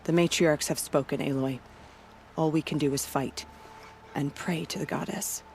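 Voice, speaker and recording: An actress playing a proud female warrior who guards a border village, speaking in a solemn, steady and devout way. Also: low voice, normal speed, creaky, slight background noise.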